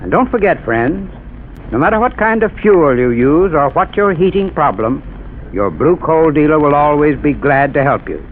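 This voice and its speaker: A man's voice: on the high side, sing-song, moderate pace, slight background noise. A man reading a scripted 1930s radio commercial in an earnest, friendly manner. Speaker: advertising spokesman. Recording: tinny 1930s radio broadcast.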